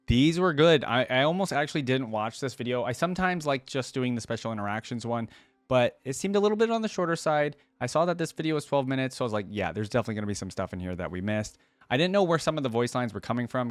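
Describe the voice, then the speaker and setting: A man's voice: mid-range voice, no echo. A man hosting a reaction video, giving casual, informal commentary and repeating phrases for emphasis.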